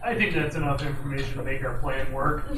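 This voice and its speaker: A man's voice: medium pitch, steady tone, a little fast, bright, forceful tone, lightly acted. A man playing a matter-of-fact police detective sidekick in an amateur old-time radio drama. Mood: businesslike. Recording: echoey room, distant mic, noisy background.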